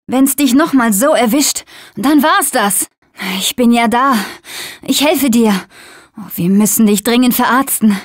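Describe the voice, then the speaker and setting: A woman's voice: on the high side, dry recording, clean studio sound, lightly acted. An actress playing a plucky, caring, tough-talking young woman surviving a zombie apocalypse in short video game voice clips.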